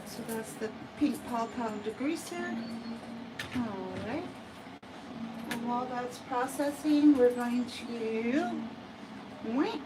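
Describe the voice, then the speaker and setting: An American woman who grooms cats, chatting slowly through a bath demonstration and often asking "right?". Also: a little high, far from the mic, background noise. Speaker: cat groomer. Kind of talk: casual livestream grooming demo.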